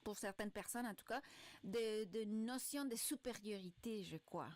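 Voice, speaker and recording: A woman's voice: medium pitch, moderate pace, no echo. A woman taking part in a casual radio panel discussion. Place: radio studio.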